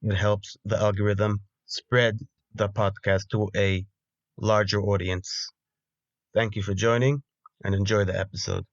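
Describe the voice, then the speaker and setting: A man hosting his podcast, reading a measured intro, then politely asking his guest questions. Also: lowish voice, flat delivery, no echo, highs cut off. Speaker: podcast host.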